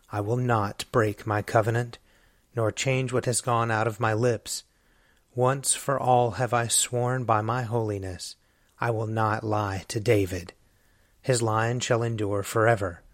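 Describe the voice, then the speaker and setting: A man reading a psalm and doxology aloud in a measured, solemn way. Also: medium pitch, no room sound, clean studio sound. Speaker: scripture reader.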